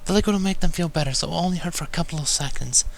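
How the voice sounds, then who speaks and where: Soft, sweet, timid girl pony performed by a male reader, high and hesitant, storybook reading. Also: racing, creaky, slight background noise. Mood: timid, apologetic. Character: timid, gentle, soft-spoken young female pony.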